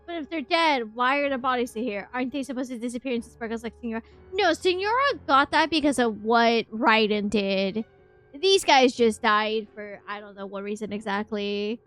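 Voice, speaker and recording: A woman streamer reacting casually and playfully to a game, excitable and joking with her chat. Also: on the high side, moderate pace. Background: game music.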